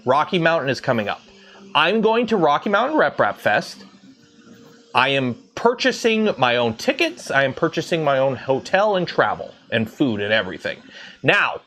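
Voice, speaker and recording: A man's voice: mid-range voice, a bit bright. A man in his thirties from Southern Ontario with a light Southern Ontario Canadian accent, rambling casually with many fillers on a livestream. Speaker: 3D printing livestreamer.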